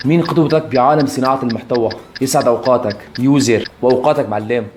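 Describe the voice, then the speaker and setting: A man's voice: medium pitch, rapid-fire, music in the background. A man in his twenties from Syria with a Syrian Arabic accent, talking casually to followers as a vlogger. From Aleppo, Syria. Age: 20s. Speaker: YouTube content creator. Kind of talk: casual vlog answering follower questions.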